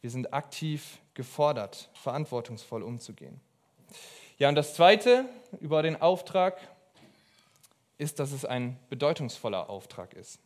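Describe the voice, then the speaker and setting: A man's voice: mid-range voice, animated. Earnest young preacher man. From abroad. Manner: earnest, emphatic, pauses often between phrases. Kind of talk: sermon.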